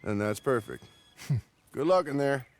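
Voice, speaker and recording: A man's voice: mid-range voice, lively intonation, measured pace, lightly acted. An actor playing an easygoing, wily frontier lawman with an American Southern accent, speaking in a wry, folksy drawl. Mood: sly, amused. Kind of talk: video game cutscene acting.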